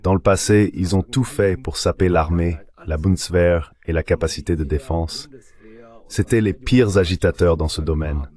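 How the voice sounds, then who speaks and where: A man's voice: low voice, moderate pace, a little mellow. A man speaking as an expert guest, giving critical, opinionated answers in a dubbed political interview.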